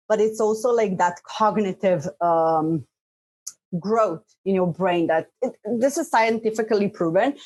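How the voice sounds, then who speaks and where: A woman from Slovenia whose first language is Bosnian talks through her story in a podcast interview. She rambles cheerfully, full of fillers, laughing often. Her voice sits at an ordinary middle pitch. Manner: lively, frequent "like" and "you know" fillers, laughs often. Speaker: podcast guest. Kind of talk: casual interview talk.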